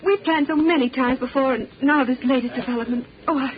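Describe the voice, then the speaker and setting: A woman's voice: high-pitched, quick pace, close to an everyday voice. An actress playing a worried, affectionate young woman, hesitant, in 1930s radio style. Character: anxious, affectionate young sweetheart of a threatened lawyer. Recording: dull old 1930s radio recording.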